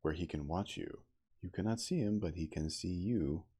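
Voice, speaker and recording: A man's voice: low-pitched, normal speed, warm tone, slightly rough. A man voicing a bold castaway in a storybook reading, preaching solemnly and commandingly. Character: male castaway narrator boldly preaching law to beast-men.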